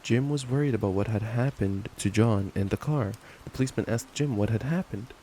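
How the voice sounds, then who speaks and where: A man's voice: on the low side, a little fast, dark tone. A young man doing an affectionate, playful ASMR boyfriend roleplay, reading a bedtime story with stumbles and giggly asides. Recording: noisy track with ambient rain sounds. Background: rain ambience.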